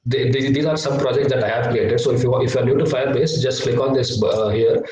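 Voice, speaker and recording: A young man teaching a live online coding lesson, hesitant with many filler sounds, his voice slightly low from a cough. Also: fairly even intonation, fast talker, a little mellow. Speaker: workshop instructor. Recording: echoey, distant laptop mic.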